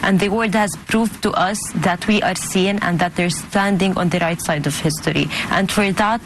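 A woman's voice: fairly low pitch, moderate pace, slight background noise. Earnest woman. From Gaza, Palestine. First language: Arabic. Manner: earnest, heartfelt, steady. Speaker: guest author and journalist. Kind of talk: interview answers and poetry reading.

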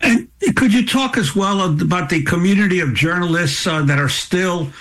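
A man interviewing a guest on a news program, asking questions in a halting way with restarts. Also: sits high, fairly bright tone.

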